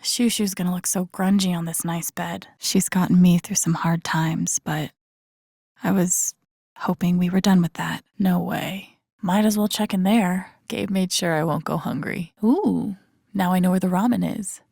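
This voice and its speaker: A woman's voice: fairly low pitch, fairly even intonation, unhurried, creaky, a little mellow, slightly rough, no echo, exaggerated voice. An actress with an American accent playing an introspective, wry young woman in a video game, murmuring dry asides to herself. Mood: wistful, then searching. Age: young adult. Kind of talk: naturalistic game inner-monologue acting.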